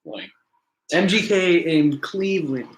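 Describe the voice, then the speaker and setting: A man's voice: fairly high pitch, expressive, takes it slowly. Laid-back young man, roomy echo. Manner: laid-back, chuckling agreement. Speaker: podcast co-host. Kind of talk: casual chat. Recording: roomy echo with faint noise.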